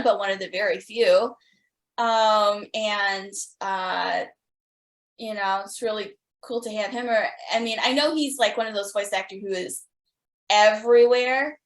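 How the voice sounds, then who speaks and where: Slow-talking woman interviewer in an echoey room. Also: medium pitch. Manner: gushing, hesitant phrasing with repeated likes. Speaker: podcast interviewer. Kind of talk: casual interview chat. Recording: roomy, echoey sound.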